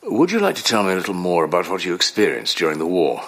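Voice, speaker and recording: A learned psychoanalyst in a period radio play, with a very low, husky voice. His speech is measured and probing, yet the pitch rises and falls expressively as he reflects. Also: huge pitch swings, a bit bright, full character voice. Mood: calm, probing. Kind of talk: audio drama acting.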